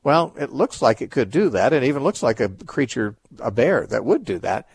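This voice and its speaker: A man's voice: medium pitch, very animated, moderate pace, creaky, breathy edge, no room sound. A man hosting a radio call-in show, answering Bible questions in a thoughtful, explanatory way with frequent restarts.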